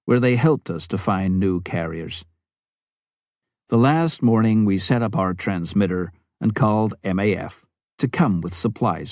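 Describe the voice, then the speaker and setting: A man's voice: lowish voice, darkish tone, no echo, studio quality. A man reading a memoir aloud as scripted audiobook narration.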